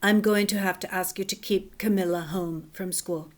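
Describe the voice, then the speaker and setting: A woman doing a quiet, sorry school principal. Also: medium pitch, steady tone, normal speed, lightly acted. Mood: apologetic. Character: regretful male school principal.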